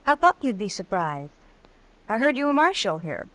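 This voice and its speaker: A bold old flame in a 1950s radio western. She is worldly and coy, needling in a medium-pitched voice. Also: big pitch swings, moderate pace, fairly bright tone, no room sound, rough recording. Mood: coy, challenging.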